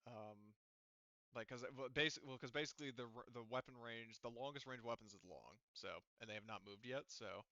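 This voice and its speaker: A man acting as game master in casual tabletop play, haltingly thinking aloud as he explains rules. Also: mid-range voice, sharp tone, no room sound, lo-fi sound.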